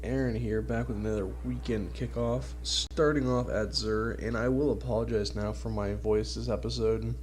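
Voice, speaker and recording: A man's voice: lowish voice, fairly even intonation, moderate pace, hardly any pauses, a little mellow, a little hiss or hum. A man with a stuffed-up, nasal voice from a cold, giving casual, informal video game commentary as a channel host.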